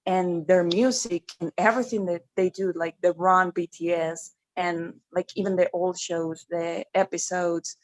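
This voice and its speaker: A woman's voice: lowish voice, steady tone, measured pace, hardly any pauses. A woman in her thirties whose first language is Spanish, speaking English as a guest on a casual livestream, earnest and effusive. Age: 30s. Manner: earnest and effusive, with frequent laughs. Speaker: guest, fellow YouTuber.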